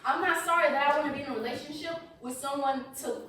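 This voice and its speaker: A woman's voice: high voice, quick pace, sharp tone. Defensive young man, played by a woman, very echoey. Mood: indignant. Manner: indignant, cutting. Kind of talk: staged play reading. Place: echoey indoor room, far mic. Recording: distant, echoey room with hiss.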